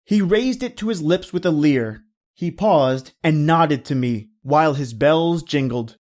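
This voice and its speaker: A man narrating a gothic horror story from a script in a measured, literary manner. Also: a little high, expressive, darkish tone.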